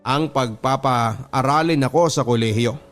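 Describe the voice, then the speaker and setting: A man's voice: medium pitch, unhurried, a bit bright. Filipino male storyteller over music. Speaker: radio host reading listener letters. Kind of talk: dramatic first-person storytelling narration. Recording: music under the voice. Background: background music.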